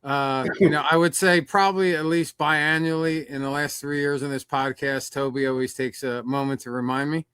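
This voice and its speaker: A male podcast host with a smooth, clear voice, recorded dry and close. He sounds upbeat and appreciative, pitched a touch higher than most men. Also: fairly even intonation, normal speed, hardly any pauses, fairly bright tone, dry recording. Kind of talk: casual chat.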